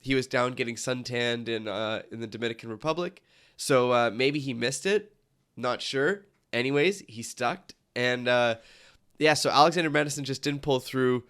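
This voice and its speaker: A man's voice: mid-range voice, moderate pace, a bit bright, clear, resonant tone. A young man with a cold and a stuffy nose, hosting a casual sports podcast and rambling with many fillers and restarts. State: congested from a cold. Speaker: podcast host.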